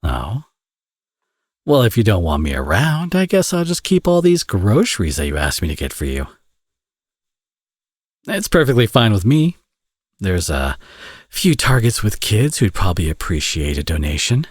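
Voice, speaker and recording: Man acting a deep, husky flirtatious villain. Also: huge pitch swings, no echo, studio quality, heavily acted. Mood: flirtatious, smug. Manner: teasing, sardonic, drawling with drawn-out phrases. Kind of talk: ASMR romance audio roleplay, intimate acting.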